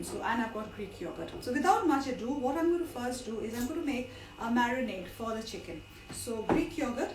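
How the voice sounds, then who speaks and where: A middle-aged woman from India with a partly lost voice, chattily leading an informal live cooking demonstration with frequent asides. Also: on the high side. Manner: chatty, digressive instruction with asides. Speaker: cook hosting a live cooking class. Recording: roomy, distant mic with background noise.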